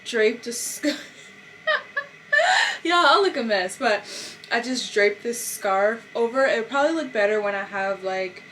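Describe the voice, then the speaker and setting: A young woman with an American accent talks in a casual, chatty vlog style. Her voice sits fairly high and she leans on words informally. There is clear background noise behind her. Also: very animated, unhurried, pauses often, a bit bright. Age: young adult. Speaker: lifestyle vlogger.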